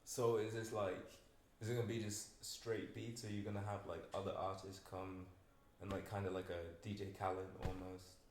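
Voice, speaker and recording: A man's voice: low-pitched, little pitch movement, moderate pace, hardly any pauses, a little mellow. A young man hosting a podcast, chatting in a laid-back, rambling way and filling his talk with "like.". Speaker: podcast host. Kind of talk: casual podcast chat. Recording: roomy room with faint noise.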